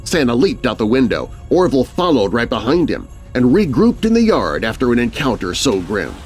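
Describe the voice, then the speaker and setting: A male narrator tells a spooky children's tale in rhyme. His delivery is theatrical and very animated, with music underneath. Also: mid-range voice, a little fast, breathy edge. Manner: theatrical, rhyming storyteller delivery. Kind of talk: dramatic children's horror story narration.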